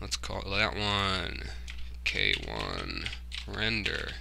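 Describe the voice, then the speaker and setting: A man giving a casual screen-recorded software tutorial, informally thinking aloud and muttering to himself as he works. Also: low voice, very animated, extremely slow, gravelly, noisy background. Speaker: tutorial host, hobbyist game developer.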